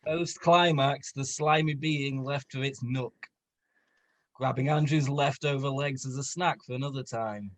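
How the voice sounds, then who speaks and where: A man's voice: mid-range voice, fairly even intonation, measured pace, a little hiss or hum. A man reading a story aloud for comedy with dramatic delivery, stumbling and correcting himself. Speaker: co-reader on a comedy stream.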